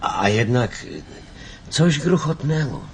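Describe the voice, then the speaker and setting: Anxious, nagging chairman, mid-pitched. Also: animated, slow pace, a little hiss or hum. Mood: worried, accusing. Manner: insistent, worried, argumentative. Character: nagging, anxious male company chairman, suspicious and insistent. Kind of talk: naturalistic Polish radio drama acting.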